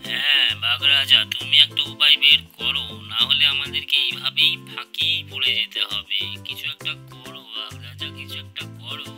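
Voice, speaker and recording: A man's voice: medium pitch, normal speed, hardly any pauses, music in the background, close to an everyday voice. A man voicing an aggrieved hunting animal in a children's cartoon, complaining and insisting on justice. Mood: pleading. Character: aggrieved hard-working animal hunter pleading for justice.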